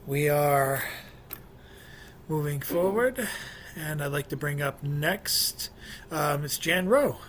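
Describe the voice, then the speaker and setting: A man's voice: fairly high pitch, animated, slow pace, long pauses, fairly bright tone. A man hosting an online poetry open mic, casually introducing the next readers over a video call. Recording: faint noise on online call.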